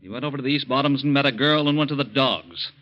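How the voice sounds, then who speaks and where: A man's voice: medium pitch, quick pace, lightly acted. An actor playing a stubborn, curt young man, a wayward son in a 1940s American radio drama. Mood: defiant. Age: young adult. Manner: curt, defiant. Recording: dull old radio recording.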